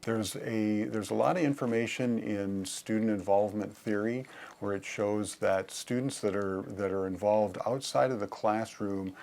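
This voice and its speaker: A man, a university administrator, answering in an interview in a halting way with repeated phrases. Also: fairly low pitch, vocal fry, darkish tone.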